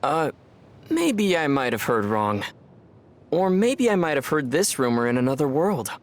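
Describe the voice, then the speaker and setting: An actor playing a calm, dry young male traveler from other worlds in a video game. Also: on the high side, measured pace. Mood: wry. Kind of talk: video game dub dialogue. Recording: game audio with soft music behind.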